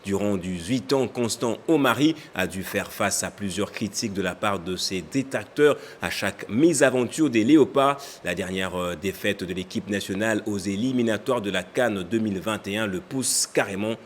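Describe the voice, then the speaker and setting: A man reading a television news bulletin in a measured, formal way. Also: fairly low pitch, a little hiss or hum. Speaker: news anchor.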